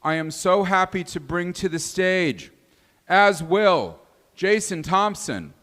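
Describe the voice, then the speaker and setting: A man's voice: high voice, lively intonation, slow, a bit bright. Man announcing a live stage show. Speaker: host and performer introducing the cast. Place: live venue stage with audience.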